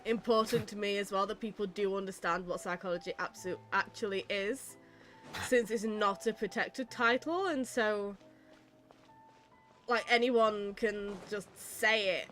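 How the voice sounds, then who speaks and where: A woman's voice: medium pitch, fairly even intonation, measured pace, a bit bright, soft background music. A woman with a British accent giving casual, chatty, wry commentary while playing a game. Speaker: psychologist streaming a game.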